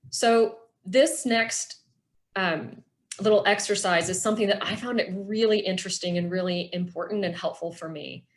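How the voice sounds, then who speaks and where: A middle-aged woman presenting a workshop with personal reflection, explaining things in an earnest, measured way. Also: on the low side.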